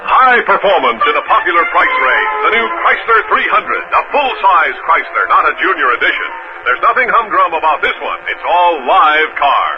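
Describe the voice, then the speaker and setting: Male American commercial announcer, 1960s car-ad read, high register, dull old radio band with music. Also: sing-song, normal speed.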